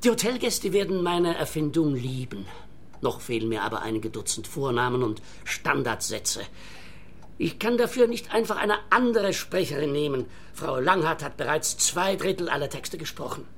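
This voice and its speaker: A man's voice: on the high side, sing-song, normal speed, fairly bright tone, a little hiss or hum. An actor playing an earnest, self-convinced inventor and entertainer in a radio play, eager and insistent like a salesman, with a Swiss accent.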